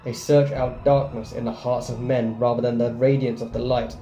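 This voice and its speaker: A male gaming host with a very dark, soft-edged voice at a medium pitch. He reads game text aloud and comments casually, in an echoey room with faint music behind him. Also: level tone, moderate pace.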